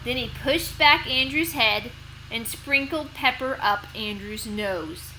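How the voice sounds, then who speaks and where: Bright, high-voiced woman reading to children. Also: takes it slowly, slight background noise, poor audio. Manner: expressive, clearly addressed to children. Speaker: storyteller and host. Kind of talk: children's read-aloud narration.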